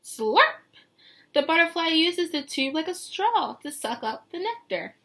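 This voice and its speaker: A woman teacher reading a picture book aloud to young children, expressive and sing-song, pausing to put questions to them. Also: very high-pitched. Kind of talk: read-aloud lesson with questions to young listeners.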